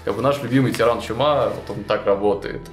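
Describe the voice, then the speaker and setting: A young man casually retelling a tabletop game campaign to a co-host, animated and full of fillers and restarts, in a small indoor studio. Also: on the low side, a little fast. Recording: roomy echo, faint music behind.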